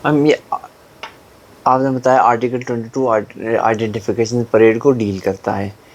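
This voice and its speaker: A man's voice: mid-range voice, fairly even intonation, normal speed, dull audio. A man politely asking interview questions, mixing English terms into his Urdu.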